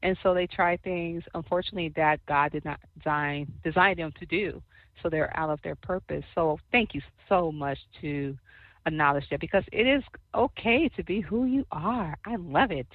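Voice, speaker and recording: A woman co-host with a soft voice interviewing a guest, affirming and churchy in her talk. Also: lowish voice, normal speed, no echo, phone-quality sound. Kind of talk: faith-based interview.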